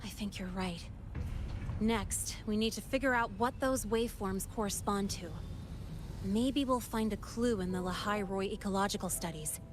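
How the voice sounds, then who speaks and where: A woman's voice: medium pitch, normal speed, background noise, natural voice. An actress playing a confident, playful young tech-expert woman in a video game, talking in a casual, explanatory way. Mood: thoughtful, casual. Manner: casual, self-assured, explanatory. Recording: music behind the voice.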